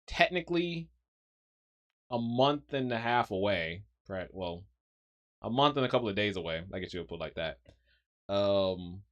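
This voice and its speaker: A man streaming live, thinking aloud in a rambling casual way with frequent ums. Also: mid-range voice. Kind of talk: casual livestream commentary.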